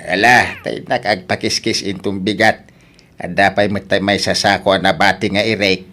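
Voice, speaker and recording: A man's voice: deep voice, little pitch movement, moderate pace, sharp tone. A man acting a practical, plain-spoken older husband and father in an Ilocano radio drama.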